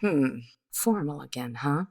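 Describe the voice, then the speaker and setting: Woman acting a world-weary widow, low creaky voice. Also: expressive, darkish tone, heavily acted. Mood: wistful, bruised. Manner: world-weary, hesitant, measured. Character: weary widow of a gambler, bittersweet and guarded. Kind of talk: 1950s radio detective drama acting.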